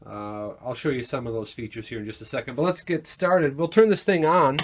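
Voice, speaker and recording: A man giving a casual, informal product demo of audio gear, halting a little as he thinks aloud. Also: medium pitch. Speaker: gear seller demonstrating vintage audio equipment. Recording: noticeable background noise, amateur demo recording.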